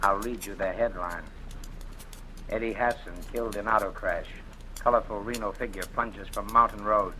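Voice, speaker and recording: An actor playing a smooth, sleazy former insurance investigator who blackmails a woman, talking in an oily, taunting drawl, in 1940s radio drama style. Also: lowish voice, fairly even intonation, normal speed, sharp tone, slight background noise, rough recording.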